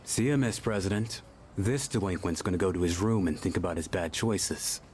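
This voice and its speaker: An actor playing a brooding, blunt delinquent high school boy in a video game, gruff and curt. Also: moderate pace, a little mellow, soft background music, natural voice. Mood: wry.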